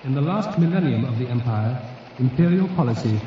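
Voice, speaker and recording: Solemn male encyclopedia narrator, heavy echo, distant, formal measured declamation, radio drama. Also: medium pitch, lively intonation. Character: solemn encyclopedia voice reading entries. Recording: echoing, dull, noisy radio drama recording.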